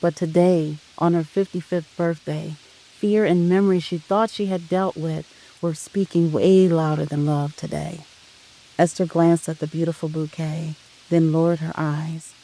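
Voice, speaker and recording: A narrator reading a scripted audio drama in a solemn, sentimental, deliberate way. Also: medium pitch, little pitch movement, mellow, poor audio.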